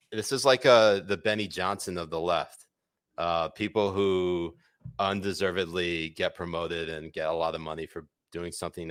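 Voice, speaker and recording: A man's voice: low voice, fairly bright tone, dry recording, clean studio sound. A man co-hosting a podcast, chatting casually and irreverently about the news with crude jokes. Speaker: podcast co-host. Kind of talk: casual comedic news chat.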